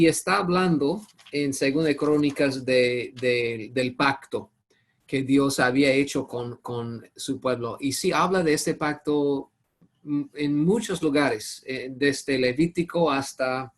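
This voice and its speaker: A man with English as his first language leading an online Bible study over a video call, often halting and searching for words. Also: medium pitch. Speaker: Bible teacher.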